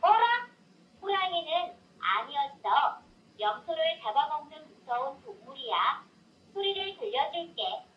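A woman's synthetic voice tells a children's story and quizzes the listener in a playful, sing-song way. Her voice sits high and swoops widely, as if cheering a small child on. The sound is dull and lo-fi, heard from a distance. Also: big pitch swings, a little slow, reverberant, a little hiss or hum. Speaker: talking doll storyteller. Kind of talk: children's story narration with quiz questions.

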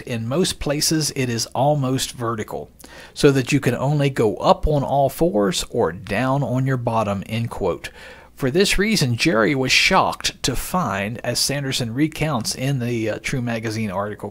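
A man narrates from a script in a medium-pitched voice. His delivery is measured and expository, like a podcast narrator laying out an account, and he clearly announces the passages he quotes. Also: a bit bright.

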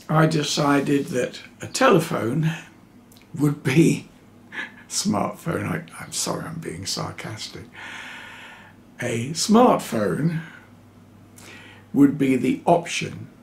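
A man in his seventies talking off the cuff to camera as a hobbyist, explaining things in a wry, halting, dryly sarcastic way. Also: mid-range voice, creaky. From New Zealand (lives there). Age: 70s. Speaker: electronics hobbyist video host. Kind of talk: unscripted explanatory talk to camera. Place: small home electronics workroom, indoors.

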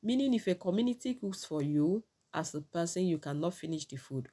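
A woman's voice: on the low side, expressive, brisk, dark tone, husky. A middle-aged woman with a Nigerian accent, giving opinionated, admonishing commentary straight to her viewers. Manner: admonishing, proverb-quoting. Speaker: vlogger commentator. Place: small indoor room with curtains.

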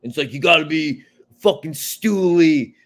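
A man's voice: fairly high pitch, animated, unhurried. An American man in his thirties chatting casually on a sports betting podcast over a video call, blunt and rambling, with plenty of swearing. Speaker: guest sports betting analyst. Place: home kitchen, on a video call.

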